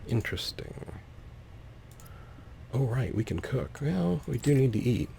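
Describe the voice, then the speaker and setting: A man's voice: medium pitch, big pitch swings, unhurried, gravelly, dark tone, slight background noise. A man giving casual gameplay commentary, thinking aloud in a whimsical, musing way. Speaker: let's player, host.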